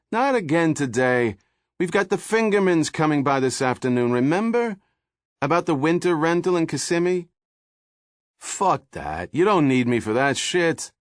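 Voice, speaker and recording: A man voicing a nagging middle-aged wife with a high-pitched voice, reading an audiobook. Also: no room sound, clean studio sound.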